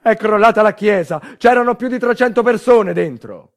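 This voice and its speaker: Man acting shaken, high, loud village priest. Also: moderate pace. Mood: shaken, urgent. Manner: loud, urgent, raised voice. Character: shaken village parish priest, desperate eyewitness to disaster.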